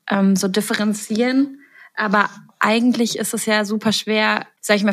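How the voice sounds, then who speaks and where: A woman interviewing a guest, asking long, reflective questions. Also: mid-range voice. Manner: long reflective questions, frequent listening murmurs.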